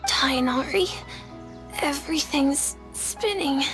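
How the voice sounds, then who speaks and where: A woman's voice: sits high, slow, fairly bright tone, soft background music. A woman voicing a sick, frightened, melodramatic young child in a video game. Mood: frightened, feeble.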